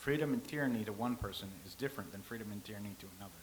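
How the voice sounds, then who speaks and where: A man reading the part of a calm, philosophical old-time scribe, speaking in a measured, lecturing way. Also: fairly low pitch, a little hiss or hum, lightly acted, rough recording. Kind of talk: staged reading.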